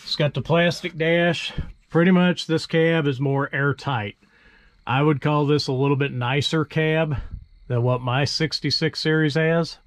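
A man's voice: a little high, fairly even intonation, measured pace, dry recording. A man hosting a farm video, casually explaining tractor features in a plainspoken, folksy way and thinking aloud as he goes.

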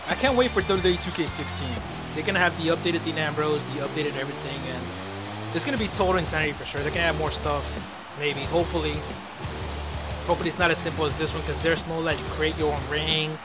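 Young man gaming, slightly worn higher voice. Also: quick pace. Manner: sweary, rambling. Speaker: gaming YouTuber. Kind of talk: casual let's play commentary. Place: indoor room at home. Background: wrestling game audio, loud music.

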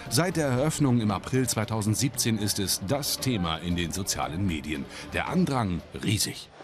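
A man's voice: fairly low pitch, animated, moderate pace, music in the background. A man narrating a scripted magazine report as a television voice-over.

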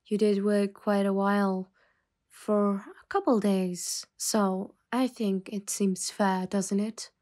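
Slow-talking, composed woman boss played by a woman. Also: mid-range voice, steady tone, darkish tone, lightly acted. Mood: apologetic, calm. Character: secret mafia boss woman, stern but softening, condescending. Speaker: ASMR roleplay performer. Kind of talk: slow, intimate ASMR roleplay.

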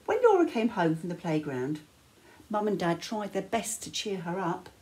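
An older woman reading a children's bedtime story aloud with measured, dramatic phrasing and pauses. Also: on the low side, sing-song, a little mellow, a little hiss or hum. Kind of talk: expressive picture-book read-aloud. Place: small living room, indoors.

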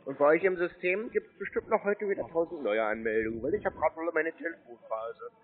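A man's voice: on the high side, fairly quick. A man, taunting and insulting the other party in a phone argument. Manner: mocking, insulting, provocative. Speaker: scambaiter prank-calling phone scammers. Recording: muffled, lo-fi call audio.

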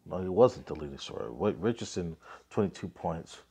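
A man's voice: low-pitched, animated, moderate pace, vocal fry, mellow, slightly rough. A man in his thirties with a cold, hosting a casual basketball podcast, reading off scores and ranting in an offhand way on a phone mic. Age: 30s. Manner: offhand, lots of fillers, reads scores aloud. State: getting over a cold, coughing. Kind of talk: casual sports recap and rant. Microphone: phone, selfie framing.

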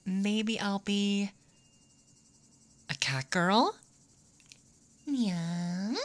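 Slow, teasing tomboy played by a woman. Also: medium pitch. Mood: playful, confident. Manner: teasing, drawling, slipping into whispers. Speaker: ASMR roleplay performer. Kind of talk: ASMR roleplay, intimate second-person acting.

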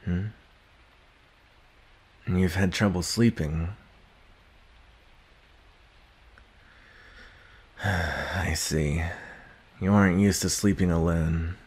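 A young man playing a gruff, guarded but secretly caring villain in an ASMR roleplay, speaking haltingly with sighs and grudging tenderness. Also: deep voice. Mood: grudgingly tender. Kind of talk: ASMR roleplay addressed to the listener.

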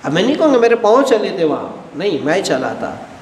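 Middle-aged guy preaching, acts out lil dialogues, v high voice, roomy echo + faint hum behind. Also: fairly quick. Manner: animated, gestures widely, acts out everyday dialogues. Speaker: Shia cleric lecturing. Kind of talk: religious lecture. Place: studio with a blue backdrop, indoors. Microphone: headset mic.

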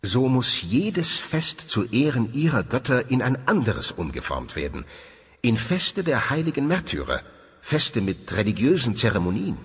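A man's voice: mid-range voice, huge pitch swings, normal speed, breathy edge, exaggerated voice. A man voicing a commanding medieval pope, reading out an order in a solemn, declaiming way for a documentary. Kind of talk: dramatized documentary quotation. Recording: long hall reverb, distant.

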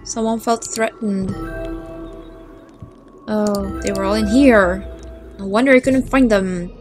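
A woman narrating her playthrough of a game, chatting, reading text aloud and reacting with quick startled exclamations. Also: mid-range voice, fairly even intonation, music in the background. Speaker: gaming video host.

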